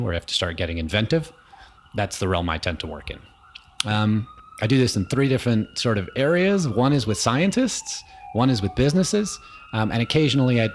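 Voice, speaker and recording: A man's voice: fairly low pitch, a little hiss or hum. A middle-aged man from New York, a guest in an interview, explaining his work in a thoughtful way, with many ums. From New York, USA. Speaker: guest, innovation consultant. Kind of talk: conversational interview. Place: indoor room with a small audience.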